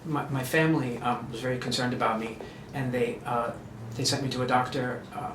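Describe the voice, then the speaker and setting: A man's voice: mid-range voice, fairly even intonation, a little fast. Hesitant man in an echoey room. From United States. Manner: hesitant, many fillers and restarts. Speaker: guest. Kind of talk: casual interview conversation. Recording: echoey room with clear background noise.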